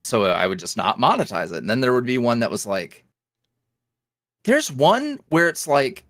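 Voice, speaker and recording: A man chatting casually as a podcast guest, his voice swinging up and down in an animated, lively way. He laughs often. The sound is dry and close, with no room echo. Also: medium pitch, normal speed. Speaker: guest, ASMR roleplay creator.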